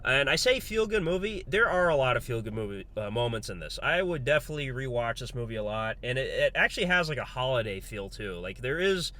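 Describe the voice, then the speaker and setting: A congested man, under the weather, giving a relaxed, rambling movie review full of fillers. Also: medium pitch, a little fast, hardly any pauses. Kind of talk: casual spoken movie review.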